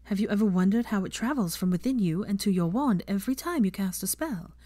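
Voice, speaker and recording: A woman voicing a young female teacher who lectures a class in a brisk, earnest, encouraging way with projected questions. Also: medium pitch, expressive, dark tone, dry recording, lightly acted. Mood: brisk, instructive.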